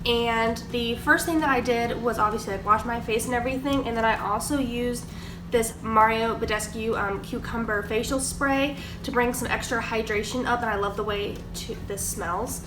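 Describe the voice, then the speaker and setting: A young woman with a slightly high voice and a stuffy nose from a cold talks chattily through her morning routine, full of "like" and "um". She sits a bit back from the camera in a roomy, echoing kitchen. A steady hiss of room noise runs underneath. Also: moderate pace. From Georgia, US. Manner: chatty, filler-heavy, explaining products. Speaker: beauty vlogger. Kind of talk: casual get-ready-with-me chat. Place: kitchen table at home. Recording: echoey room, distant mic, background noise.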